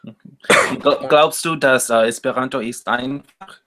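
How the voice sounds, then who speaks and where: A man's voice: medium pitch, a little slow, pushed and bright. A man hosting a casual interview, asking simple questions and repeating answers back. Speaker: interviewer, host.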